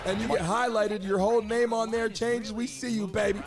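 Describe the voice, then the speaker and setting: A high-voiced man hosts a sports livestream with loud, excitable energy. He shouts out viewers and stretches his catchphrases, with music and game sound behind him. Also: brisk. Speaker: livestream sports show host. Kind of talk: hyped livestream chat, calling out viewers and asking for subscribers and likes.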